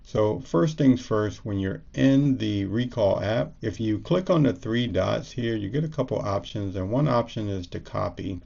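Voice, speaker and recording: Low, gravelly man giving a tutorial. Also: lively intonation, a little slow, few pauses, warm tone, lo-fi sound. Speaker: tutorial presenter. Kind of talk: casual step-by-step screencast explanation.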